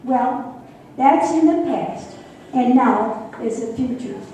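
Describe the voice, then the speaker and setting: An older woman playing a regretful mother in an amateur radio play, with a halting, deliberate delivery. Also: high-pitched, warm tone. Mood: musing, regretful. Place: echoey room at a senior center. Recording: very echoey, distant mic, faint noise.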